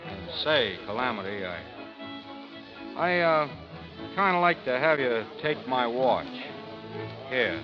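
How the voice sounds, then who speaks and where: An actor playing a weary, steely frontier gunfighter in old-time radio drama style, sometimes hesitant, sometimes terse. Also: male voice, medium pitch, animated, slow pace, long pauses. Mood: firm, resigned. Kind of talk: 1940s radio acting. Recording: muffled old radio broadcast, faint music.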